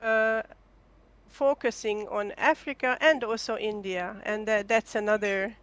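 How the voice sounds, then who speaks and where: A woman's voice: medium pitch, steady tone, measured pace, a bit bright, dry recording. A woman from Hungary talking as a guest in a casual chat, hesitant, with many ums and restarts. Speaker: guest artist.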